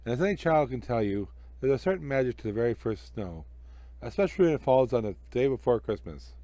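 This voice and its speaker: A man narrating a children's story as an expressive storyteller. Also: mid-range voice, quick pace, dry recording.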